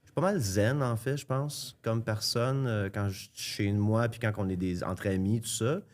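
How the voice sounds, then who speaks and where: A young man with a Franco-Ontarian French accent, chatting casually as a podcast guest and often pausing on fillers and restarts. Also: lowish voice, fairly even intonation, a little mellow. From Ontario, Canada. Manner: halting, many fillers and restarts. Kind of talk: casual chat.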